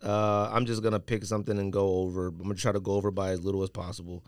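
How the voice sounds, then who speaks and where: A man's voice: low-pitched, level tone, racing, a little mellow, dry recording, studio quality. A man in casual sneaker podcast banter, talking to himself and complaining in slangy speech. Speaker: podcast host. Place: podcast studio.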